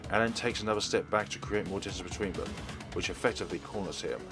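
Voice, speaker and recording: A man's voice: fairly low pitch, fast talker, over music. A man narrating a visual novel aloud as a let's play host. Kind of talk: reading visual novel aloud, narrating and doing character voices.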